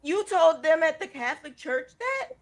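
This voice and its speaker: A woman's voice: sits high, sing-song, normal speed. A woman hosting a casual video chat with her aunt, reacting with short remarks and frequent laughs. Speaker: host.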